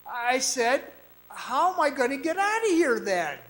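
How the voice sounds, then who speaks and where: Man acting frightened miner, radio drama, unhurried pace, stammering, haunted storyteller. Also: extremely high pitch, very animated, a bit bright, full character voice. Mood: nervous, bewildered. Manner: stammering, halting, anxious. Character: frightened trapped miner, jittery, recounting his ordeal. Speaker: actor and narrator in a radio drama. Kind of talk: first-person suspense radio drama acting. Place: performing arts center stage.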